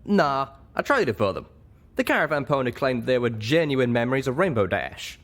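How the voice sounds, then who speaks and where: A man's voice: medium pitch, sing-song, normal speed, a bit bright, pitched above the performer's own voice. A man voicing an easygoing, wry male pony companion with a folksy manner in a storybook reading.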